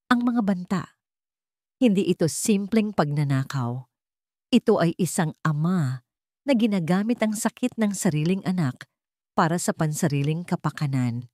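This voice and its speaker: Woman with a Filipino accent, dramatic romance audiobook narrator, low voice, dry close mic. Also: darkish tone, dry recording. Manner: emotional, dramatic reading. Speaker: storyteller.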